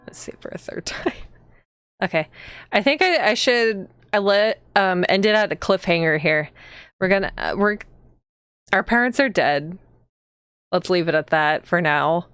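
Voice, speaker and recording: A woman hosts a casual live stream in a fairly low, very clear voice. The sound is dry, with almost no room to it. She talks informally, straight to her viewers. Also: lively intonation, unhurried, pauses often, a bit bright, no room sound. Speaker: streamer and host.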